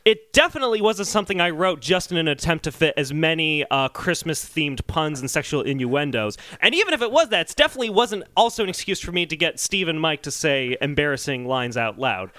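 A man hosting a comedy podcast, bantering casually and sometimes reading a story aloud in an excitable, mock-earnest way. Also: fairly high pitch, fairly quick, hardly any pauses, dry recording.

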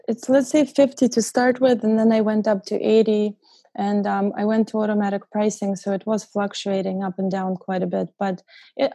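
A young woman from Ukraine speaks as a guest in a casual interview. Her voice is dark and gravelly, and her pitch stays flat. She hesitates often, with ums and small laughs. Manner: hesitant, many ums and uhs, laughs softly. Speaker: guest, short-term rental host.

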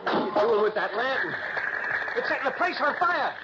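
A man's voice: extremely high pitch, very animated, a little fast. An actor in a vintage radio drama playing a frightened male fugitive who pleads and stammers. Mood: panicky. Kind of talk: 1960s radio drama acting. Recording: dull vintage radio broadcast, faint hiss.

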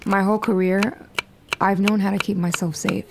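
A woman's voice: mid-range voice, level tone, moderate pace, vocal fry, heavy background noise, natural voice. A woman playing a cool, calculating operative on the run in an audio fiction drama. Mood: cool, confessional.

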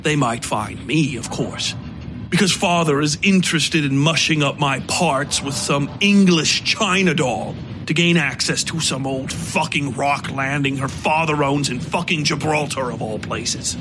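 A man voicing a pompous, scornful American gentleman who rants theatrically in a dark audio story. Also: fairly high pitch, unhurried, music in the background, close to an everyday voice. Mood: scornful ranting. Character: ranting, scornful, drunken American man of wealth, a bigoted theorist. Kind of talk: dramatised audiobook dialogue.

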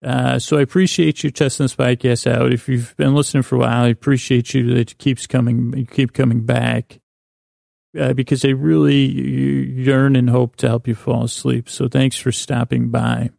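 A man hosting a bedtime podcast in a creaky, gravelly voice, rambling in a lulling, halting way with frequent stammers and self-interruptions. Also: medium pitch, little pitch movement, a little mellow, no room sound, clean studio sound. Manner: lulling, soothing. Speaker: podcast host. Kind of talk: rambling bedtime monologue to put listeners to sleep.

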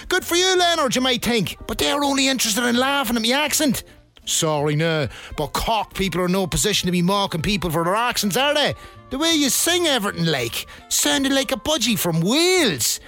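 A man's voice: high-pitched, a bit bright. A man playing a cocky, aggrieved ladies' man with a Dundalk accent, boastful and indignant in a comedy monologue. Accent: Dundalk, County Louth.

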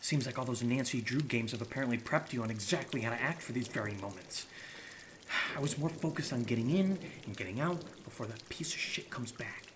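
A man's voice: medium pitch, brisk, background noise, close to an everyday voice. A man playing a sarcastic, hot-headed, foul-mouthed amateur sleuth in an audio drama, in naturalistic banter. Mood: irritated, sarcastic. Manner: sarcastic, profane.

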